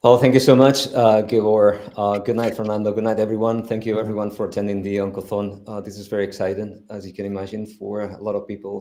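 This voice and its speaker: A man's voice: fairly low pitch, warm tone. A middle-aged man from Spain with a Spanish accent, speaking earnestly on a live stream panel, with many restarts. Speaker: foundation founder, panel guest.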